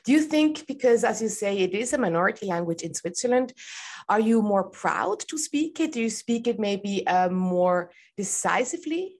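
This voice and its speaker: A woman's voice: mid-range voice, expressive, normal speed, darkish tone. A woman hosting a podcast, asking interview questions and listening with frequent murmured agreement. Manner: attentive, frequent "mm-hmm" backchannels.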